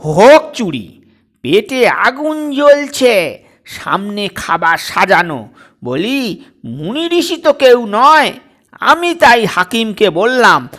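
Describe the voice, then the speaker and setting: A male narrator plays an older lawyer and father-in-law in a comic story. He is fussy and excitable, rambling emphatically in a high, widely swinging voice at a slow pace. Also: full character voice. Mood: excited, indignant.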